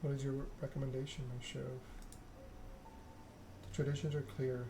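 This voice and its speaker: A man reading a story game aloud in an ASMR style, with hushed, measured narration and dialogue. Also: medium pitch, little pitch movement, noisy background.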